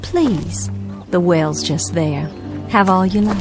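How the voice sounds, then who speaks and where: An actress playing a genteel, frail, wistful war widow with a gracious, reflective manner in a radio drama. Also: female voice, on the low side, slow pace, over music.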